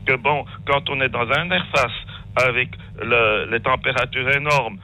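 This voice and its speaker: Male radio caller, extremely bright and forceful timbre, mid-range, hesitant and digressive delivery. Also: mid-range voice, steady tone, moderate pace, rough recording. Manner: hesitant, digressive, restarts often. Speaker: phone-in caller. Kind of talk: rambling spontaneous talk. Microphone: telephone call.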